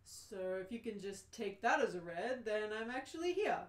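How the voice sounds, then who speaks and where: High, animated woman as exasperated everyman. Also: normal speed. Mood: reasoning, wary. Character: exasperated, bewildered ordinary Englishman defending his house. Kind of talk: amateur radio play reading. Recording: roomy, echoing live reading.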